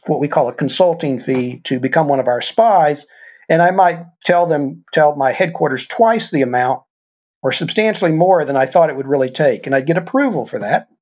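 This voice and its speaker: An older man, a guest, talks with earnest conviction and illustrates his points with stories. His voice is husky and sits a touch higher than most men's. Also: a little mellow. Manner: earnest, explains with examples. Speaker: guest, former intelligence officer. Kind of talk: conversational storytelling interview.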